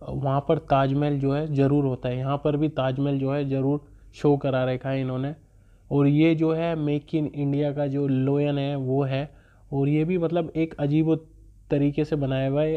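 A young man chatting casually in a travel vlog, rambling with loose fillers as he walks viewers around. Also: medium pitch. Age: young adult. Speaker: vlogger. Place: small room in front of a curtain, then walking through an expo. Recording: tinny phone-like sound.

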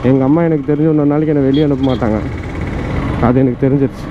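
A man's voice: mid-range voice, steady tone, rapid-fire. A young man with a Tamil accent chatting playfully to his viewers while riding a motorcycle, speaking through a helmet mic with the visor open. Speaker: motovlogger. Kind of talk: casual chat while riding. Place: on a motorcycle on open roads outdoors. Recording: muffled with loud wind and traffic noise. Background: wind, engine and traffic.

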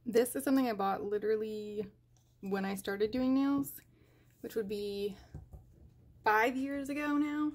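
A woman's voice: on the high side, measured pace, pauses often, darkish tone, slight background noise. A young woman talking casually while tidying her nail polish desk at home, thinking aloud with fillers and hesitations. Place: home nail room, indoors. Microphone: overhead camera above desk.

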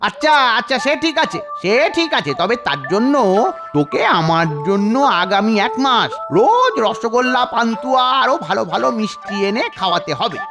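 A woman's voice: on the high side, sing-song, moderate pace. A woman voicing a sly, greedy male celestial bookkeeper in a cartoon, scheming and repeating words. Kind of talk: children's cartoon voice acting. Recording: music behind the voice.

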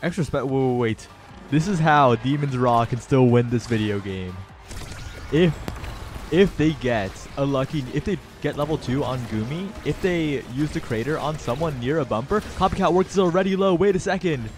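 A man's voice: medium pitch, normal speed. Chatty male esports co-caster. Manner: relaxed and chatty, laughs easily. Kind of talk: color commentary. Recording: faint game music behind.

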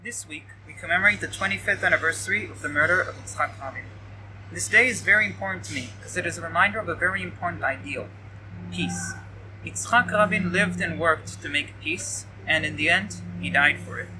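A teenage male high school student giving a prepared personal reflection speech, recorded outdoors on a camera a few steps away. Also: brisk. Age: teens. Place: outdoors by a leafy hedge. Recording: bright outdoor recording with faint noise.

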